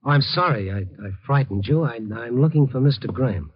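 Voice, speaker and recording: An American actor playing a tough but kindly middle-aged reporter in 1950s radio noir, moving between terse narration and hesitant, gentle soothing. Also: male voice, medium pitch, animated, a little fast, husky, soft background music. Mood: apologetic, soothing. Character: tough but kindly male night-beat reporter, troubled. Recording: muffled old radio recording.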